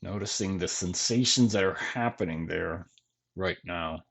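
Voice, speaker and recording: A man leading a guided body scan meditation in gentle, measured phrases with long pauses between them. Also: low-pitched, creaky, breathy edge. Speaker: meditation teacher.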